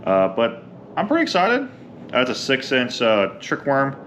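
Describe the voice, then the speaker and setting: Slightly hoarse man, casual rambling chat. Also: mid-range voice, wildly swooping pitch, a little slow, a bit bright, a little hiss or hum. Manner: rambling, many ums and uhs. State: a bit hoarse from a cold. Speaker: fishing vlogger. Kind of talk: casual unboxing talk.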